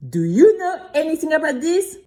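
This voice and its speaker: A woman's voice: sits high, very animated, normal speed, warm tone, pitched above the performer's own voice. A woman voicing an angry, commanding male board chairman in an audio story. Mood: indignant. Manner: indignant, commanding.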